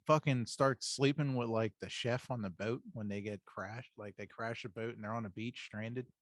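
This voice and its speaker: A man's voice: mid-range voice, steady tone, normal speed, no echo. Crude, sweary male podcast co-host, dry sound. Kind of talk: casual pop-culture chat.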